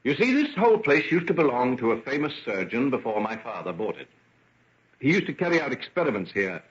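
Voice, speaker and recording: Casting: earnest, troubled young British gentleman scientist, husky, expressive voice, muffled vintage radio drama. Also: medium pitch, a little fast. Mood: matter-of-fact. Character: brooding, self-doubting young English gentleman scientist. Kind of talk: old-time radio drama acting. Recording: muffled, band-limited vintage radio recording.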